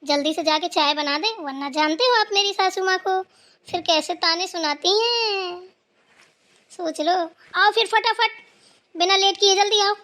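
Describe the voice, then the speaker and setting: Woman as young daughter-in-law, cartoon, dutiful, anxious, eager, high-pitched. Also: a bit bright. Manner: eager, fast, pleading.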